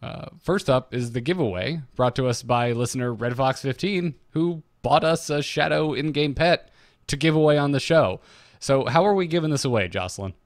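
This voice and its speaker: Man, podcast co-host, casual gaming chat, mid-pitched voice, informal talk, very dry recording. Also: moderate pace, no echo.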